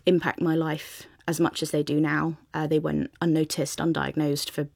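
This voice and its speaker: A woman's voice: sits low, level tone, normal speed, vocal fry, darkish tone, slightly rough, no echo, studio quality. A young woman answering interview questions in a reflective way, with hedging fillers. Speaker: guest.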